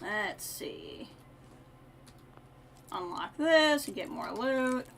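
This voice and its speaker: A woman streams a video game with a bright, fairly high voice. She chats casually with viewers and drops offhand asides to herself, over faint noise and keyboard clatter. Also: big pitch swings, drawn-out words, gravelly. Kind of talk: casual live-stream game commentary and chat.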